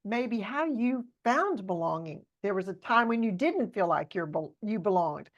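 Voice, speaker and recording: A woman's voice: medium pitch, lively intonation, moderate pace, lo-fi sound. A woman hosting a podcast interview, teasing her guest playfully. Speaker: podcast host.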